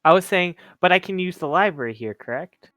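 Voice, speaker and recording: A man's voice: high-pitched, expressive, brisk, a bit bright, no room sound, clean studio sound. A young man playing a tabletop game over voice chat, joking playfully and laughing a lot. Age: young adult. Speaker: player. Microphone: home microphone on voice call.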